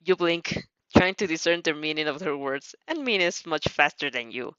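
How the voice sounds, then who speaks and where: A woman narrating anime fan fiction in an expressive, giggly storytelling manner. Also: low voice, moderate pace, smooth, dry recording, muffled recording.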